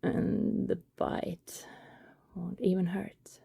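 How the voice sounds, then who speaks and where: A woman performs an affectionate, apologetic vampire woman in an intimate ASMR roleplay. Her voice is very mellow and whispery, with fry at the edges. She confides softly and hesitantly in a close, dry recording. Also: mid-range voice, level tone, very slow, dry recording, heavily acted. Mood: soothing, reassuring. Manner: soft, breathy, whispery, hesitant confiding delivery. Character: affectionate female vampire neighbor, guilty, tender and reassuring.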